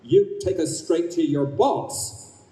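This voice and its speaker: A man's voice: on the high side, slow, warm tone. A man playing a stubborn, blustery old former drill sergeant in an old-time radio play. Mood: indignant, demanding. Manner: blustering, insistent. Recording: echoey live stage, distant microphone.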